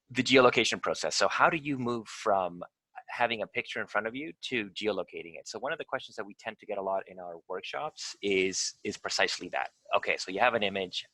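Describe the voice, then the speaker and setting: Low-voiced guy from venezuela running a zoom workshop, lots of ums, dry close sound. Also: fairly bright tone, no room sound, poor audio. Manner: hesitant, many ums and uhs, restarts. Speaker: investigator leading a workshop. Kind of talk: live online tutorial walkthrough. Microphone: video call.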